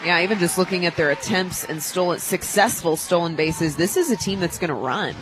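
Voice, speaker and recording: An American woman giving live, conversational softball analysis as a radio color commentator. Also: on the low side, fairly quick, background noise. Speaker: color commentator, former player. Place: stadium radio booth.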